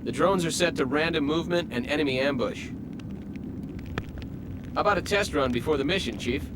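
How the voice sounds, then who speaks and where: A young male technician from a video game, played by an actor, with a slightly high voice. He is obliging and businesslike, and there is clear noise behind him. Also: fairly quick, lightly acted. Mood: helpful, focused. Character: obliging young male lab technician assisting his chief.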